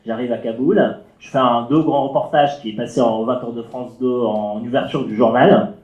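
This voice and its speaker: A man's voice: medium pitch, fairly even intonation, darkish tone. Afghan-born guy telling his story in second-language french, lots of euh and restarts, echoey room, muffled sound. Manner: halting, many fillers and restarts, non-native French phrasing. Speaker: journalist speaking on a panel. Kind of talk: personal testimony at a round table. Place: library auditorium. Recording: echoey hall, dull lo-fi sound.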